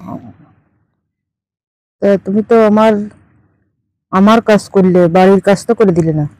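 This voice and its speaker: A woman's voice: mid-range voice, fairly even intonation, a little slow, darkish tone, no room sound, lightly acted. A woman with a Bangladeshi accent playing a teasing, demanding older aunt in an improvised phone-call drama. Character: teasing, demanding older aunt who owns a fish farm.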